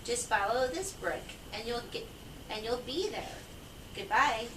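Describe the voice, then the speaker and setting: Woman as a breezy storybook otter, slow, echoey. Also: fairly high pitch, animated. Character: breezy, helpful young male otter. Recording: echoey, distant, noisy home recording.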